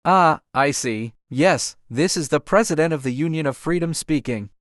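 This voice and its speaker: Man voicing a defiant breakaway leader, indignant, raised pitch, very lively, dry sound. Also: moderate pace, dry recording, natural voice. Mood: formal. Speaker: separatist president. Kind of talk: amateur audio drama.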